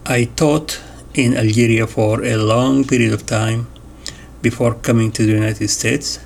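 A man reading an interview transcript aloud in a measured way, announcing each question and answer. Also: medium pitch, fairly even intonation, creaky, slight background noise. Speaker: voice-over reader of an interview transcript.

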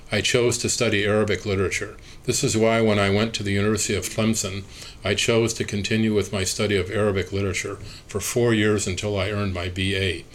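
A man with a somewhat low voice reads an interview transcript aloud, speaker labels included. His delivery is measured and even. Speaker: reader of an oral history interview transcript.

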